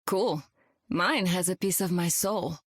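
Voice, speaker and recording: An actress playing a young, sardonic demon queen and war captain, deadpan and dry, in a video game. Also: on the low side, slow pace, husky, dry recording. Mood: cool, deadpan. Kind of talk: video game character acting.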